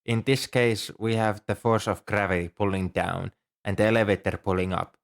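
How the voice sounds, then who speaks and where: A man plays a shy, bookish young student librarian in an ASMR roleplay. His voice is on the low side, and he talks hesitantly, with earnest helpfulness and a readiness to apologize. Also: steady tone, normal speed, creaky. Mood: patient, helpful. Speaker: amateur audio roleplay performer. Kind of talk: ASMR roleplay, scripted one-sided dialogue.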